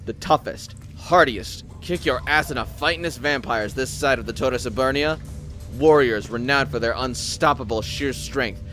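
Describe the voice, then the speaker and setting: A man's voice: medium pitch, normal speed, fairly bright tone, faint music behind. A man narrating scripted fiction as a dramatic storyteller.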